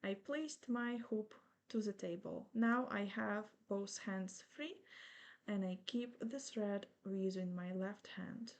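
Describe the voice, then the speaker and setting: Slow, patient Ukrainian-accented woman. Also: mid-range voice, fairly even intonation. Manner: slow, patient, gently reassuring instruction. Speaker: embroidery teacher. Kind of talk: step-by-step craft tutorial.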